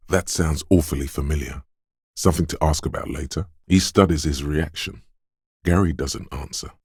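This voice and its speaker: Very deep, smooth inner voice. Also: male voice, darkish tone, dry recording, clean studio sound, exaggerated voice. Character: inner voice of a detective's quick reflexes and wits. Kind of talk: video game narration, second-person inner voice.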